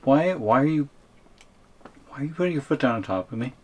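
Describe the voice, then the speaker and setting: A man's voice: medium pitch, expressive, brisk, darkish tone, slight background noise, lightly acted. A man acting a tiny, shrunken captive boyfriend in an ASMR audio roleplay, reluctant and wary, with a hesitant, wry way of protesting. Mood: worried.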